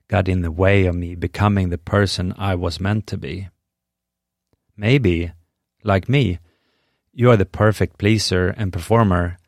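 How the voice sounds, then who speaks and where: A middle-aged man from Sweden with a Swedish accent, speaking English as a second language. He hosts a podcast and delivers a scripted monologue in an earnest, measured, encouraging way. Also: sits low, no room sound, studio quality. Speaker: podcast host.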